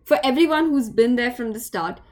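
Podcast host: woman, fairly high voice, reads a prepared intro. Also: expressive, fairly quick, a little mellow. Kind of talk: scripted intro, then interview.

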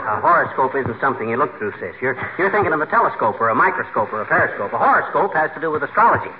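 Muffled 1940s radio comedy: self-important, easily flustered husband, animated delivery. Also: male voice, fairly high pitch, racing, very noisy. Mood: lecturing. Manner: blustering, stammering, self-important. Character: boastful, blustering married man, cheerful and easily flustered.

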